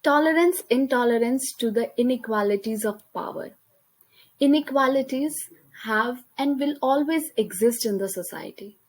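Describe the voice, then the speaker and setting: Young indian woman reading her slide presentation, a bit high voice, careful, few slips. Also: normal speed. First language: Hindi. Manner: careful, reads from slides with small slips and restarts. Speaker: student presenter. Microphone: computer mic, voice-over on slides.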